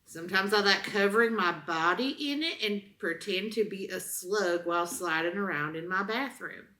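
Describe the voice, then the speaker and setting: A woman with a Southern US accent co-hosting a comedy show, chatting casually and reading product reviews aloud in a deliberate, mock-earnest way. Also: medium pitch, sharp tone.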